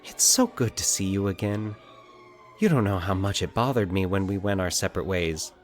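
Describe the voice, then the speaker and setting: A man's voice: deep voice, lively intonation, normal speed, soft background music. A man voicing a cheerful, teasing young woman in an audio fiction story, with a breezy, emphatic delivery. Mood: warm, earnest.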